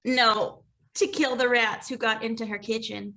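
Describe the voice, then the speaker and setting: A woman playing an earnest grieving daughter who over-explains dramatically in an animated comedy table read. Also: a little high, measured pace. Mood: tearful, intense.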